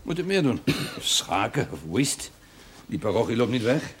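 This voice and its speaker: A man's voice: mid-range voice, big pitch swings, unhurried. An actor playing a brusque village doctor in a television period drama, dry and teasing with his patient. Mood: brusque, businesslike. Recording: faintly noisy old TV soundtrack.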